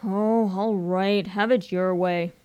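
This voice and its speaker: A woman playing a breezy, optimistic upper-class young gentleman in a radio comedy, chatty and chummy with old-fashioned slang. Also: mid-range voice, fairly even intonation, slow, natural voice. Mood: reluctant. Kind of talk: radio comedy drama acting.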